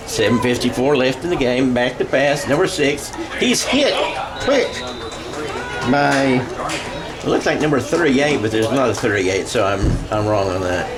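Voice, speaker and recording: An American man giving live radio-style play-by-play of a football game, halting and correcting himself as he goes. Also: medium pitch, animated, a crowd in the background. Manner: halting, self-correcting as he calls plays. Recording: clear background noise of a ballgame.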